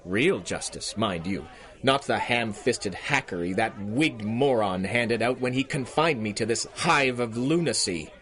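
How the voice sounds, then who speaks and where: An actor playing an arrogant, vindictive criminal genius as a judge, pompous and imperious, in radio serial style. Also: male voice, mid-range voice, lively intonation, unhurried, a bit bright. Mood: smugly menacing. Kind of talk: 1930s radio serial acting.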